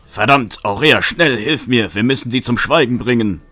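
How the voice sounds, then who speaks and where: A man's voice: mid-range voice, moderate pace, pushed and bright, no echo. A man playing a bold young would-be knight in a fantasy fan audio drama, resolute and declamatory. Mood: urgent.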